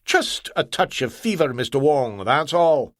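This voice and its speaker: A man's voice: medium pitch, animated, a little slow, a bit bright, no room sound, clean studio sound. Storybook role: a cunning Scottish engineer, dogged and wheedling, put on by a male narrator. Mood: gruff. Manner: broad dialect, stubborn and sly.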